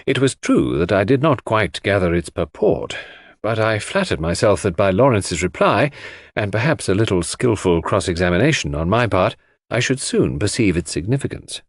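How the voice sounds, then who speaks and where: A man narrates an audiobook in a dramatic, scripted style. His voice sits a little low and moves expressively up and down, recorded dry and close. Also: sing-song, normal speed, no echo.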